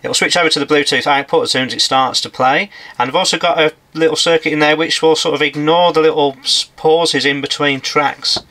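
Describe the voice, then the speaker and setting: A man's voice: fairly high pitch, fairly even intonation, fairly quick, hardly any pauses, pushed and bright, low-quality recording. A man in his forties talking casually and informally through hands-on electronics work as he does it, like a workshop demonstrator. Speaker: electronics repairer presenting a workshop video. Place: indoor electronics workshop at a workbench.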